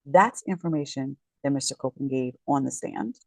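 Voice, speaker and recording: Woman, tired voice, gravelly, dry close sound, rambling legal commentary. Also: a bass voice, fairly quick, dry recording. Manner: rambling, self-correcting, apologetic. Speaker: real estate agent and legal commentator. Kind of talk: casual legal commentary vlog. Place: home, late at night.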